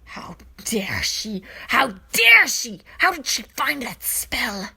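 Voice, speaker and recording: A woman puts on a menacing alien witch for an audio story. She barks commands and threats in a husky voice that drops into hisses and rises into loud snarls. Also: on the high side, big pitch swings, slow pace, voice pushed up, full character voice. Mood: enraged. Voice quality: hissing, snarling. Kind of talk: dramatized fan fiction reading.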